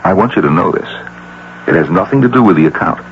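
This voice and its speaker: Lovestruck American businessman, deep gravelly voice, animated swings, halting insistence, old-time radio drama. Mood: earnest, wooing. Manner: halting, earnest, emphatic. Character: earnest, lovestruck wealthy businessman, awkward yet insistent suitor. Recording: dull hissy radio drama recording with hum.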